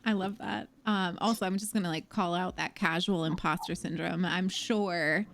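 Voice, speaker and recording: A woman's voice: on the low side, steady tone, moderate pace, vocal fry. A young adult woman hosting a casual livestream chat, talking in a loose, filler-heavy way and laughing easily.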